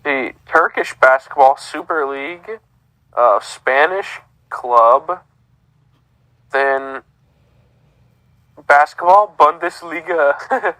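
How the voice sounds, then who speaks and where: A man's voice: fairly high pitch, extremely slow, long pauses, pushed and bright, dry recording, rough recording. A man, co-hosting a casual sports podcast in an easygoing way, reading stats aloud. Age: 30s. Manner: easygoing, reads out lists. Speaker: podcast co-host.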